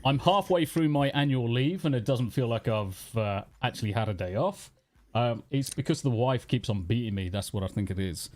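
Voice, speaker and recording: A man's voice: mid-range voice, expressive, normal speed, darkish tone. An English man hosting a casual livestream panel, bantering and laughing as he reads out the chat. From England. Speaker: host.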